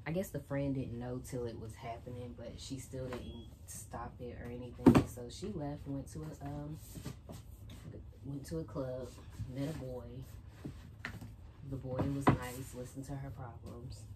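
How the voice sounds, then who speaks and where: A woman's voice: extremely low pitch, fairly even intonation, unhurried, heavy background noise. A young woman chatting casually while she tidies her bedroom, rambling through a book plot with lots of fillers, moving near and away from a camera set on a shelf. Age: young adult. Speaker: vlogger. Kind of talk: casual chat while tidying. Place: small bedroom with bookshelf and TV, indoors. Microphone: phone or camera set on a shelf, she moves near and away.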